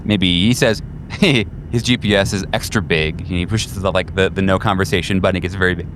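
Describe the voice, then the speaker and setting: Low-pitched man casually running a tabletop game. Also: fairly even intonation, brisk, noisy background. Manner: casual, profane, conversational. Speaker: game master. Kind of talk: improvised tabletop game narration.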